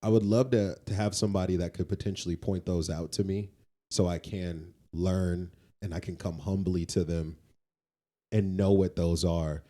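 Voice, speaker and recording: A man's voice: low-pitched, fairly even intonation, normal speed, warm tone. A man talking casually as a podcast co-host, informal and slangy, often repeating phrases for emphasis. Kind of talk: casual conversational talk.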